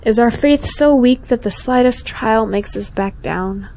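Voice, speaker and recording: A woman's voice: a little high, unhurried, dark tone. Earnest young woman, devout, troubled conscience, insistent, audio drama dialogue, acted by a woman. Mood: dismayed, searching.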